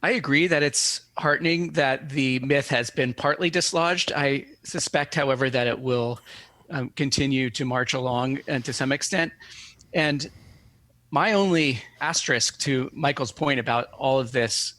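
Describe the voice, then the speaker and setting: Male scholar for an interview, crisp bright edge, dry close sound, measured and analytical phrasing. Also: medium pitch, no echo. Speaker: guest academic expert.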